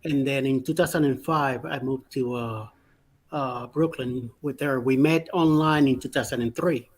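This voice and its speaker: A man's voice: mid-range voice, normal speed. A man from Puerto Rico, raised in Miami, chatting as a panel guest and telling his story hesitantly with many fillers. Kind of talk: casual chat.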